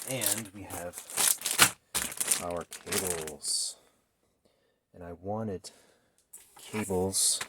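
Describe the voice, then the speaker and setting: A man in his thirties casually narrating a DIY build in a garage workshop, thinking aloud informally as he works. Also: deep voice. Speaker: DIY maker, host. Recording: noisy workshop recording with clicks.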